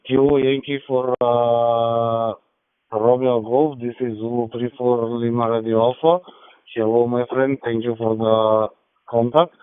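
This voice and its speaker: Man, Macedonian accent, friendly ham radio talk, smooth voice, dry radio sound. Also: medium pitch, steady tone, a little slow, no room sound. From North Macedonia. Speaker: amateur radio operator. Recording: thin narrowband digital radio transmission.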